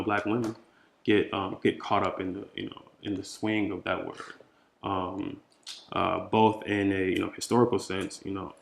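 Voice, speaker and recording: A man speaking informally as a poet answering questions, thinking aloud haltingly and repeating phrases for emphasis. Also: on the low side, vocal fry, slight background noise.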